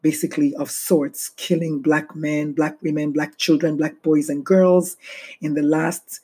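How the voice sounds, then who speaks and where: Husky, subdued middle-aged woman. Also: low-pitched, little pitch movement, warm tone. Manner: slow, heavy-hearted and reflective. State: voice low and barely audible. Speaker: podcast host. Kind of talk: solo podcast monologue.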